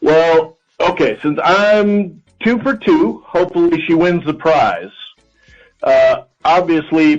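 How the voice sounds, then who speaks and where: Middle-aged American man, dull call audio. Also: medium pitch. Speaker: called contestant, a father. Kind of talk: casual phone conversation.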